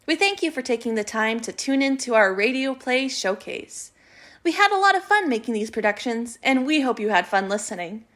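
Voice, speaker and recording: A woman with a high voice presents a radio showcase. She reads a scripted outro to the listeners. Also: very animated, fairly quick, fairly bright tone.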